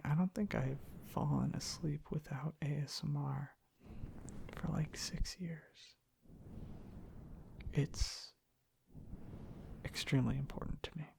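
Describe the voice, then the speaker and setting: A man speaking in a soft, hushed, half-whispered ASMR ramble, breathy and gravelly, in unhurried halting phrases. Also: on the high side. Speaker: ASMR content creator. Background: tapping and clicking sounds.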